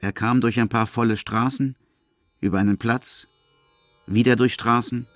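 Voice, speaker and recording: A man's voice: medium pitch, fairly even intonation, moderate pace, a bit bright, faint music behind. A man reading scripted literary narration as the narrator of a radio play.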